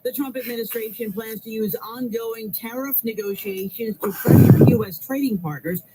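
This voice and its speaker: High-voiced male radio news anchor. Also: heavy background noise. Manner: measured, formal. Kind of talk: news reading.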